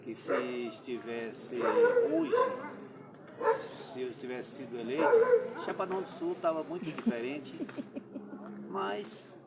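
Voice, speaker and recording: A man in his fifties from Alagoas, a former mayoral candidate, telling his story slowly and deliberately in a podcast interview, with halting and formal phrasing. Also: fairly high pitch, huge pitch swings, distant mic. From Alagoas, Brazil. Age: 50s. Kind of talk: podcast interview, personal account. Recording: voice from off-mic with clear background noise.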